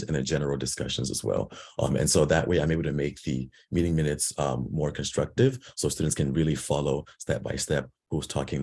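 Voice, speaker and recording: Very deep, husky young man. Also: a little mellow. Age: 20s. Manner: earnest, many ums and restarts. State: hoarse, losing his voice. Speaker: board candidate. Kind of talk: candidate speech and answers.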